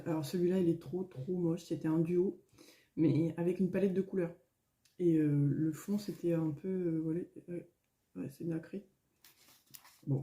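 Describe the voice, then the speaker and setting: A middle-aged woman, a little hoarse, chatting casually at home in a vlog, rambling with many fillers and restarts. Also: fairly low pitch, mellow, a little hiss or hum. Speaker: coloring vlogger. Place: at home, indoors.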